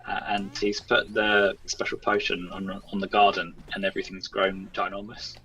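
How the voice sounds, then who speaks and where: A man's voice: deep voice, completely flat delivery, moderate pace, sharp tone, muffled recording. A man speaking as a guest game developer, chatting casually. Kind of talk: casual chat. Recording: distant mic with music underneath. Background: music.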